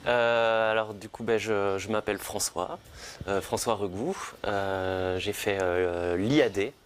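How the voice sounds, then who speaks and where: A young theatre director and actor introducing himself haltingly in a studio interview, with many fillers. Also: male voice, lowish voice, a little hiss or hum.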